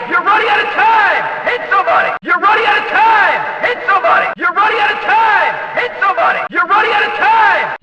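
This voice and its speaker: A rowdy, excitable male race announcer for a demolition derby video game. His voice is pitched very high and cuts through with a very forceful, bright edge. He punches and stretches words for drama, ringing out in heavy stadium-style echo. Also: full character voice. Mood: urging, hyped. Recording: very echoey, distant, slightly dull.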